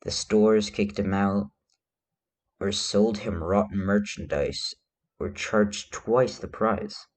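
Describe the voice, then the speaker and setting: Slow, low-voiced man reading a story. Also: steady tone. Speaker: fan fiction reader. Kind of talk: reading a story aloud, scripted narration.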